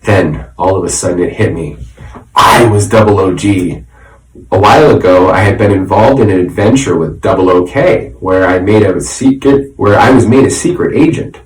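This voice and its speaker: A man reading a children's story aloud in an animated, dramatic way, with explanatory asides. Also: low voice, moderate pace. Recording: roomy echo, distant microphone.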